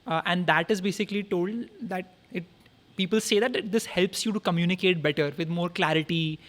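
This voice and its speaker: A man's voice: high voice, steady tone, a little fast, fairly bright tone. A young man, hosting a podcast and asking short, inquisitive questions in casual chat. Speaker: podcast host.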